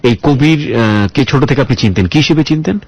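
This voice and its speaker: Bangladeshi guy hosting radio interview, raspy voice, repeats stuff back as questions, dry dull sound. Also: mid-range voice, a little fast, a little mellow, dry recording. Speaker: radio show host and interviewer. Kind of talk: probing interview with narration to listeners.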